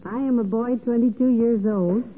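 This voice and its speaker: An actress playing a patient, gently sardonic wife and secretary with a folksy, dryly teasing manner in a 1940s radio comedy. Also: female voice, on the high side, steady tone, normal speed, husky. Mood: wry. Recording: tinny, noisy old radio broadcast.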